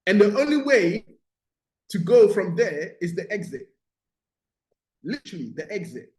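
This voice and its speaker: High-voiced male coach in echoey room. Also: sing-song, measured pace, a little mellow. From born in Ghana, moved to the UK at eight. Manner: emphatic, repeats key phrases, checks in with "right?" and "okay?". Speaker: business mindset coach leading a course. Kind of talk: motivational teaching in a live online session. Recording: roomy, echoing sound.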